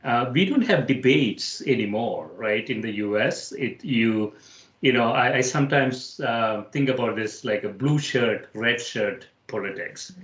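Male host from India, mid-pitched, hesitant and reflective, casual interview talk in a roomy, echoing space. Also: animated, unhurried, fairly bright tone.

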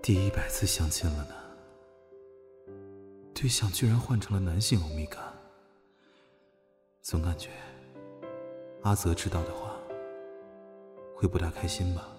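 A man's voice: sits low, unhurried, long pauses, music in the background. A man playing a playful, teasing Alpha general under thirty in a modern audio drama. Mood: wistful, subdued.

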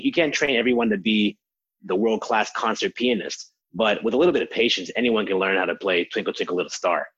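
A man, a language teacher, answering interview questions in an animated way and acting out quotes. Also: on the low side, husky, muffled recording. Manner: animated explainer, acts out quotes. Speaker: language teacher, podcast guest.

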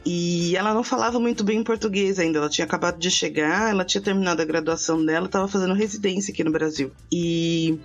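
A woman's voice: lowish voice, steady tone, quick pace, few pauses. A woman in her thirties hosting a podcast, telling a long story in casual chat with many restarts and asides. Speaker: podcast host.

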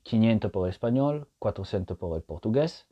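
A man's voice: on the low side, animated, a little fast, breathy edge, lo-fi sound. A man hosting a casual solo podcast, explaining his point patiently and often checking in with the listener, speaking Spanish as a learned language. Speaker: podcast host.

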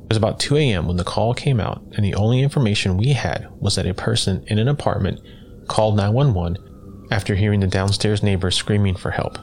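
A man with a low-pitched voice narrates a scary first-person story from a script. His delivery is measured and matter-of-fact. A faint layer of noise sits behind the voice. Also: a little mellow. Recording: clear background noise.

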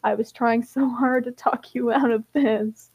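A woman's voice: a little high, steady tone, measured pace, darkish tone, husky, highs cut off. A woman voicing a skeptical, exasperated male traveler with a sarcastic, explaining manner in a roleplay story.

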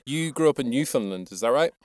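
A man's voice: mid-range voice, animated, fast, no room sound, clean studio sound. A man hosting a casual interview, curious and reactive as he asks questions. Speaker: interviewer, host.

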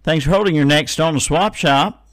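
A man's voice: a little high, normal speed, a bit bright. A man hosting a casual live radio call-in show, folksy and given to repeating phrases and numbers.

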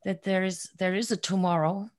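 A middle-aged woman from Germany with a German accent, a guest in a casual podcast interview, cheerful and quick to laugh, sometimes searching for words. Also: mid-range voice, steady tone.

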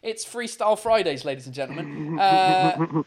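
A man co-hosting a livestream quiz, chatting casually with viewers in a loud, laughing, swearing style full of fillers. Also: fairly high pitch, lively intonation, normal speed, vocal fry. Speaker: livestream co-host running a quiz.

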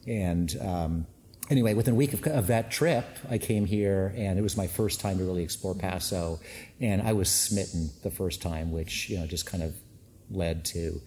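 A man's voice: deep voice, normal speed, dark tone, a little hiss or hum. A middle-aged man who grew up in Canada speaking Finnish first, a winery owner chatting casually with many fillers and restarts. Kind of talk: casual interview chat. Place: winery tank room. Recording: long reverb, faint ambience.

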